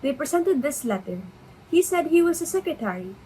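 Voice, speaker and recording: A woman's voice: high voice, big pitch swings, a little fast, mellow, slight background noise, low-quality recording. A woman playing a deferential, formal household servant in a dramatic reading of a stage comedy, polite and dutiful.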